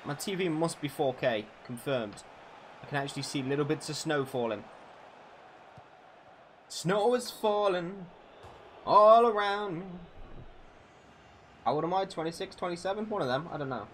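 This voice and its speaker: A man's voice: on the high side, animated, moderate pace, pauses often, a bit bright, a little hiss or hum. A man in his twenties casually chatting and swearing freely while streaming a football video game, answering his viewers' questions. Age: 20s. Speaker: gaming streamer.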